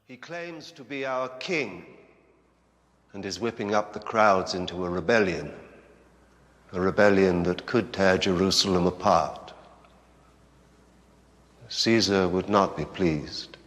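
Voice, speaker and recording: An actor playing a grave, accusing chief priest in a biblical drama. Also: male voice, deep voice, slow, long pauses, a bit bright. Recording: reverberant hall.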